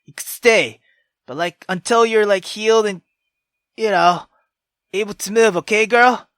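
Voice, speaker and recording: A young man playing a visual novel on camera, reacting loudly and excitably with lots of swearing. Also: sits high, unhurried, smooth, no echo, studio quality. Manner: loud, excitable, heavy swearing. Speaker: gaming YouTuber. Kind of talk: let's-play commentary.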